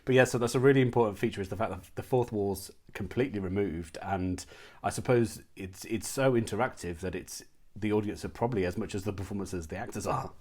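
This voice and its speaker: A man with a fairly low voice hosts an interview. He speaks casually and with enthusiasm, like someone presenting his own online channel. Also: fast talker, darkish tone, breathy edge.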